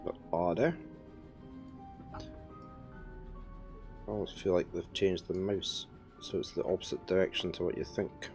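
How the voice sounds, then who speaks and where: A man streaming a puzzle game, chatting and thinking aloud, his voice croaky from a head cold. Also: sits low, fairly even intonation, a little slow, long pauses, a little mellow, faint music behind. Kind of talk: casual live-stream commentary.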